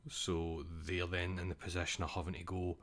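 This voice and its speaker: A man's voice: deep voice, level tone, moderate pace, a bit bright. A young Scottish man, a streamer, chatting casually and rambling, swearing now and then and reading chat messages aloud.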